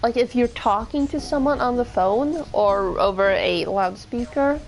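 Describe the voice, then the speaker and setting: A woman's voice: fairly high pitch, unhurried, poor audio. A woman whose first language is Swedish, casually chatting and thinking aloud while streaming a game. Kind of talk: casual let's-play commentary.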